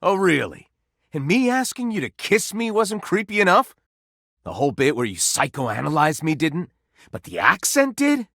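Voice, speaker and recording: An actor voicing a living candelabra in a video game, a self-confessed fraud who talks bluntly and casually, with sulky resignation and a flirtatious streak. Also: male voice, medium pitch, a bit bright, no echo, studio quality. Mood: wounded, sarcastic. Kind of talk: video game character dialogue.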